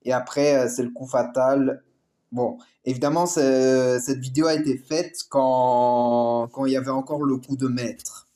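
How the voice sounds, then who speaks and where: A man's voice: mid-range voice, steady tone, unhurried, dark tone. A man hosting a casual game show reaction video, rambling with many fillers. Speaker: YouTube reaction host.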